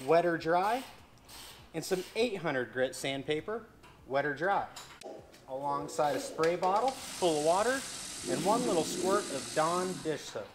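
A man's voice: on the high side, background noise. Easygoing man demonstrating in a showroom. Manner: easygoing, folksy instructional talk. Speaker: boat dealer and channel host. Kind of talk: casual how-to demonstration.

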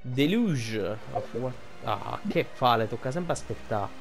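A man's voice: medium pitch, lively intonation, takes it slowly, vocal fry, soft background music. An Italian man giving casual gameplay commentary, rambling and thinking aloud as he plays. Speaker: gaming streamer.

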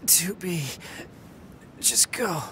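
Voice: animated, very slow, heavily acted. An actor playing an earnest, loyal young male android soldier with a boyish voice in a dramatic game. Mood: weak, pained. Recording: loud rumble and engine noise behind.

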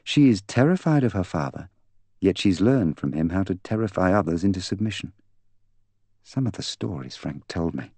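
A man's voice: low voice, big pitch swings, normal speed, a little mellow, breathy edge, dry recording, heavily acted. A man voicing a proud, bitter young woman in an audiobook, candid, articulate and intense. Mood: scornful. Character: proud, bitter young woman confessing to killing her fiancé. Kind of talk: audiobook character dialogue.

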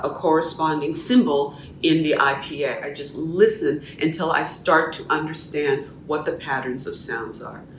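A middle-aged woman, a dialect coach, explaining her process to camera in a measured, teacherly way from a small room at home. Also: low voice, a bit bright, distant mic, slight background noise. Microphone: camera at a distance. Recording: echoey room, voice a little off-mic.

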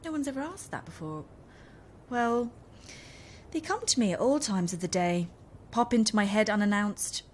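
An actress playing a lonely, eager-to-please romance novelist in an audio drama, hesitant and apologetic. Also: female voice, medium pitch, lively intonation, moderate pace, darkish tone, slight background noise, natural voice. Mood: shy, flattered. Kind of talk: naturalistic audio drama acting.

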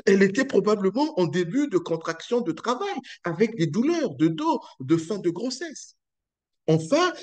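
Preacher guy from congo, kinda high voice, slow, lots of pauses, on a webcam. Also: a little mellow. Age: middle-aged. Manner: slow, halting, many hesitations, swooping emphasis; sways and gestures while preaching. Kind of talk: sermon. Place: small room, indoors. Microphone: webcam at close range.

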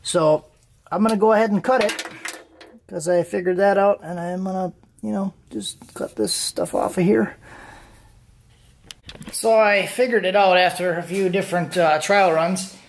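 A man's voice: high voice, normal speed, a little hiss or hum. A man in his thirties talking casually to the camera in a home garage, explaining things informally as a car-build vlogger. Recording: roomy, echoing garage sound.

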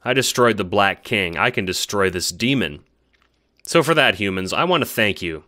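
A man's voice: on the low side, animated, moderate pace, pushed and bright. A man playing a cocky, bitter young alien troll girl in a dramatic, boastful first-person monologue. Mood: defiant, gloating. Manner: boastful, theatrical, scornful.

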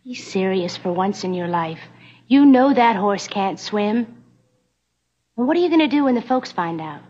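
A woman's voice: medium pitch, animated, normal speed, close to an everyday voice. A young actress playing a principled, anxious frontier woman in an old TV western, speaking earnestly and insistently. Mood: exasperated. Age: young adult. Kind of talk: 1960s TV western acting. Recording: dull old TV soundtrack, loud music.

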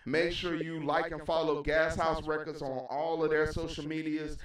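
A middle-aged American man hosts a casual interview show with emphatic, repetitive hype. His voice sits a little high and comes through very echoey, well away from the microphone. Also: flat delivery, moderate pace. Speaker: radio show host and DJ. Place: small indoor room, video call. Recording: very echoey, distant from mic.

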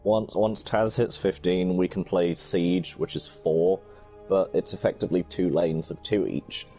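A man calmly explaining game tactics to friends while playing. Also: low voice, a little slow, soft background music, rough recording. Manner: calm, explanatory, gives advice. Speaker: gaming stream co-player. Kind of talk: casual gaming chat.

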